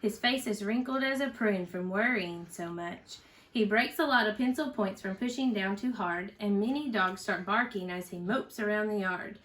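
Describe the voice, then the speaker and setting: A woman reading a children's storybook aloud in an expressive, teacherly way, with chatty asides and questions to a young listener. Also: mid-range voice, normal speed, rough recording. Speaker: parent reading a storybook aloud for a school read-aloud.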